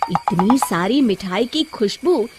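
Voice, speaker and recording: A woman's voice: medium pitch, lively intonation, takes it slowly, music in the background. A woman narrating a scripted children's story as the storyteller.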